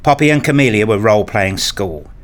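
A man acting as a married father who tells his own story in a radio play. His voice sits fairly low, and he delivers the scripted narration in a measured, reflective manner, wistful and a little fussy. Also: animated, natural voice. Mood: resigned.

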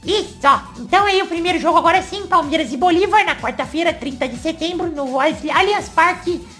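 A woman's voice: very high voice, a little fast, a bit bright, faint music behind. A Brazilian woman hosting a casual sports podcast, reading match fixtures and cueing each panelist in turn. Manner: reads fixtures in a sing-song, then cues each panelist. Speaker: podcast host reading the betting pool.

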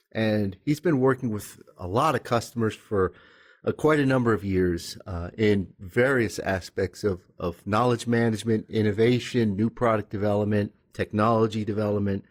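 A man's voice: lowish voice, darkish tone. A man hosting a podcast, friendly and asking short questions. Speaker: podcast host. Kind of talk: podcast interview.

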